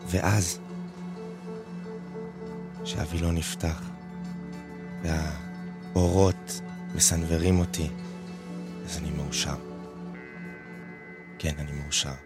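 A man playing a reflective singer looking back on his life in a slow, halting radio monologue that repeats phrases. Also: deep voice.

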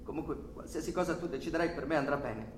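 An actor playing a compliant, unruffled nephew in a radio drama, with a placid, deferential manner. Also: male voice, a little high, quick pace, close to an everyday voice. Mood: calm, defensive. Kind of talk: theatrical radio drama acting. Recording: roomy echo, clear background noise.

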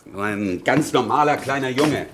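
Man acting worldly revolutionary politician, radio drama, talks down to people, pitch all over the place. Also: lively intonation, normal speed, background noise, close to an everyday voice. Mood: condescending, patient. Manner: condescending, swinging from quiet to forceful. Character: worldly, blunt revolutionary statesman, recently widowed.

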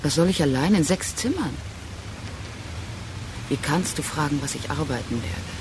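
A woman's voice: sits low, animated, normal speed, background noise. An actress in a radio drama playing a proud, reproachful woman leaving her partner, insistent and speaking in clipped, accusing phrases. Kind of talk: restrained radio drama dialogue.

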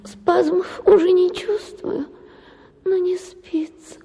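An actress playing a capricious, chattering young wife in a radio play, with a theatrical, gushing and complaining manner. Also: female voice, very high-pitched, steady tone, slow pace, creaky, mellow, full character voice. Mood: weak.

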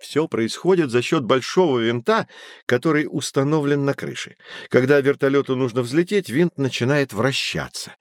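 A man's voice: medium pitch, moderate pace, no echo, clean studio sound, natural voice. A man playing a learned, patient guide who explains things to children in formal, didactic phrases in an educational audio drama. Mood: explanatory.

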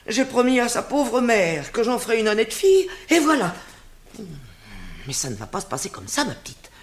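An actress voicing an old royal nurse who scolds and dotes on her charge, in a stage drama. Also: normal speed. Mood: indignant. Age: older. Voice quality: lively scolding voice, swinging high and low.

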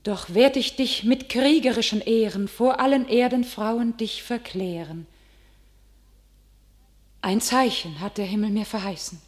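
An actress playing a young shepherdess turned holy warrior, declaiming classical verse in a solemn, exalted manner in a radio drama. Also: female voice, on the high side, a little slow, lightly acted. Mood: exalted rapture.

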